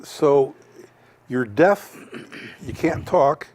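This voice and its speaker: Halting male interview host. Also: on the high side, expressive, darkish tone, dry recording. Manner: halting, hesitant phrasing with repeated words. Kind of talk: interview questions.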